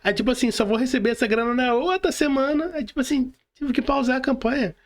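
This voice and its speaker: A man's voice: very high-pitched, fast talker. A man with a Brazilian accent hosting a casual interview, speaking in slang and repeating words for emphasis. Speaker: podcast host.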